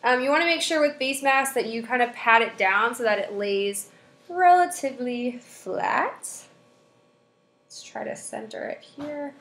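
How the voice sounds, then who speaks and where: A woman's voice: on the high side, animated, unhurried, fairly bright tone, echoey room, slight background noise. A young woman with a stuffed-up nose from a head cold, chatting casually as a beauty vlogger through a webcam in a small room. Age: young adult. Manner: chatty, friendly, with frequent fillers. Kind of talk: casual product review. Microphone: webcam at desk distance.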